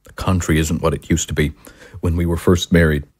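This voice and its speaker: A man's voice: rumbling low, level tone, fairly quick, husky. A man playing a self-righteous, paranoid talk-show host husband in an audio drama, ranting and condescending. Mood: grandiose, paranoid. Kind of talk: dramatic audio screenplay acting.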